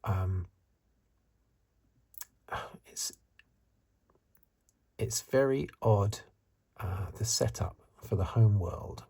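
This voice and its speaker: A man giving soft-spoken, partly whispered ASMR review commentary in a breathy, hesitant, musing way. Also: fairly low pitch, extremely slow, long pauses. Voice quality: breathy, hushed. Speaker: host of a film review video.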